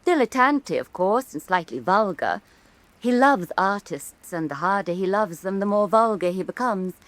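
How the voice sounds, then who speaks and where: A woman's voice: mid-range voice, expressive, moderate pace, a bit bright, no echo, lightly acted. An actress playing an elegant, insinuating woman art critic of Renaissance Venice, arch and mannered, in a theatrical radio drama. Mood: smooth, persuasive. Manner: arch, mannered, lingering, condescending.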